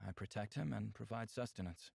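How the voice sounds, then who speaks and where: A man performs an earnest alien man in a visual novel. He speaks in a lowish voice with measured, formal phrasing, recorded close and dry. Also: vocal fry, a little mellow, slightly rough, no echo, heavily acted. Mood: serious, measured. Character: open-minded, measured male alien, earnest and teasing.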